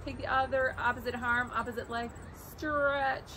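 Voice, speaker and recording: A woman's voice: a little high, little pitch movement, normal speed, pushed and bright, slight background noise, rough recording. A middle-aged woman giving a children's read-aloud and casual instruction, speaking to young listeners in simple, encouraging phrases. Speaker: teacher reading a picture book aloud to children.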